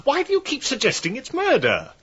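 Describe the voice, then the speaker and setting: An actor with a British accent playing a guilty, defensive husband under police questioning in a radio drama, stiff and haughty. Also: male voice, very high-pitched, wildly swooping pitch, a little fast, a bit bright, husky, highs cut off, heavily acted. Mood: rattled.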